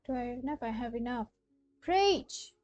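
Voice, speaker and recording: A young woman from Malaysia, an excitable game streamer chatting casually and exclaiming to her live viewers. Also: a little high, normal speed, no echo. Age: young adult.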